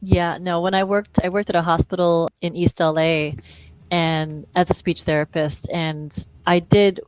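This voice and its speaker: A middle-aged woman from Hawaii talks as a guest on an interview, chatty and self-deprecating, laughing often. Her voice sits fairly low, and the recording is dry and close. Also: flat delivery, a little slow, clear, resonant tone, no room sound, rough recording. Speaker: speech-language pathologist guest. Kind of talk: conversational interview.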